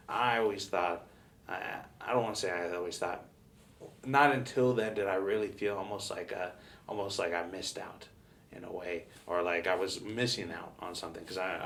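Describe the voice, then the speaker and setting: A man's voice: low-pitched, a bit bright, far from the mic, a little hiss or hum. A young American man from Las Vegas talking reflectively with his father, often saying "like" and restarting his sentences. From Las Vegas, Nevada. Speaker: interviewer, son. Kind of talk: casual family conversation.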